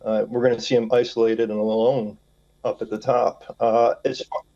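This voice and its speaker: A man's voice: medium pitch, little pitch movement, normal speed, warm tone, dull audio. A man speaking as an expert guest in a news interview, hesitant but assertive. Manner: hesitant, filler-heavy, assertive.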